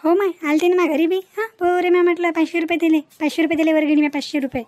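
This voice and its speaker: A woman playing a talkative, sharp-tongued village housewife in a comic cartoon, with a rural Varhadi accent from Maharashtra and an emphatic, gossipy manner. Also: very high-pitched, steady tone, fast, dry recording. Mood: gossiping.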